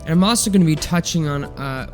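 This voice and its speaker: A man's voice: a little high, fast talker, soft background music. A young man hosting a solo science podcast, chatting casually with a congested, nasal voice and lots of ums and restarts. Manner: rambling, filler-heavy, relaxed. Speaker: podcast host.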